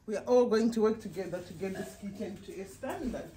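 A woman's voice: medium pitch, warm tone. Mother directing a family clean-up. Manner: directing the family, brisk and practical. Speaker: mother filming a cleaning vlog. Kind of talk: casual family chat while cleaning. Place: home kitchen indoors. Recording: distant phone mic in an echoey home with noise.

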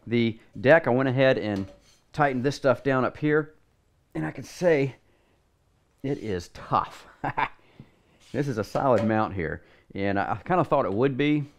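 Middle-aged male reviewer, lowish voice, easygoing and rambling, garage workshop setting. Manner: relaxed, rambling, thinks aloud with fillers. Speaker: product reviewer. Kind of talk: casual hands-on review. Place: home garage workshop, indoors.

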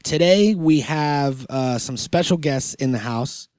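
A man's voice: fairly high pitch, unhurried, a little mellow, clean tone, no echo, clean studio sound. A man hosting a podcast in casual banter, joking and swearing freely. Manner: joking, profane. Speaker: podcast host.

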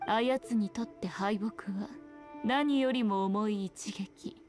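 A woman's voice: mid-range voice, slow, darkish tone, soft background music, close to an everyday voice. A woman playing a sly, teasing, ancient fox-spirit shrine maiden in a video game, speaking in an archaic, haughty manner with playful airs. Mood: grave, sly. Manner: archaic haughty Japanese speech, playful mock sympathy. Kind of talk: scripted video game dialogue.

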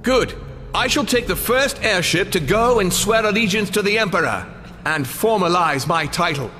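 An actor playing a haughty, contemptuous governor in a video game, with pompous, commanding oratory. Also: male voice, high-pitched, expressive, normal speed, sharp tone, soft background music. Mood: imperious. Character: haughty, contemptuous male governor with supremacist zeal. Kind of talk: video game character acting. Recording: long hall-like reverb.

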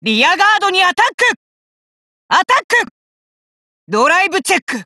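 An actor voicing a cocky, hot-blooded young male fighter in an anime card game, rough-talking and arrogant, with emphatic battle cries. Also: very high-pitched, sing-song, moderate pace, sharp tone, dry recording, studio quality, exaggerated voice. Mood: fierce, triumphant.

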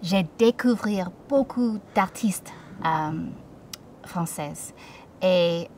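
A woman in her thirties, a native English speaker from Toronto with an English Canadian accent, speaking in a hesitant, soft-spoken way with many pauses. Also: on the low side, distant mic, slight background noise. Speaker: singer-songwriter. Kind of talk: casual spoken introduction. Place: outdoor backyard deck. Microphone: studio mic with pop filter, slightly off-axis.

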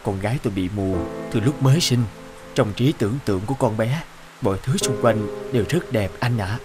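A man's voice: on the low side, fairly quick, natural voice. Guy doing a kind young village dad in a cartoon, courteous, faint music behind. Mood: gentle, subdued. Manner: plain-spoken, courteous. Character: kind, humble village father, a young man. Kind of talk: animated cartoon dialogue.

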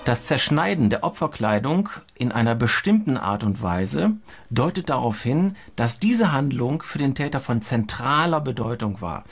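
A man's voice: medium pitch, very animated, moderate pace, gravelly. A man giving formal expert commentary as a criminologist, in an academic manner with frequent hesitations. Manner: academic, hesitant with many fillers.

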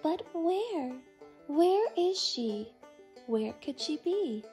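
A woman's voice: sits high, very animated, drawn-out words, darkish tone, soft background music, voice pushed up, exaggerated voice. A woman voicing a lost, determined baby bird in a storybook, childlike and exclaiming. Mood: searching, questioning.

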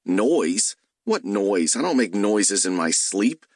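A man's voice: fairly low pitch, very animated, slow pace, darkish tone, no room sound, clean studio sound, exaggerated voice. A man voicing an exasperated, sarcastic male detective in an audiobook, with indignant delivery. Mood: irritated.

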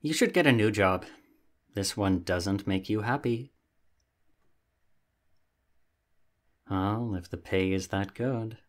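A man's voice: on the low side, a little slow, close to an everyday voice. A man playing a sassy, vain young catboy roommate in an ASMR roleplay, talking in a casual, theatrically huffy way. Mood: sleepy, nosy.

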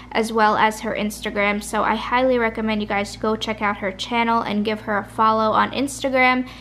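Woman vlogger, chatty rambler, tired and soft-voiced, faint hum behind. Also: a little high. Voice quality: soft, a little raspy. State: tired, late at night. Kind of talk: casual chat. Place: kitchen at home. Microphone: camera at a kitchen table.

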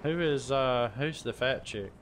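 A man's voice: medium pitch, unhurried. A man chatting casually as he organizes his team during a live game stream. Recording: background game noise on voice chat.

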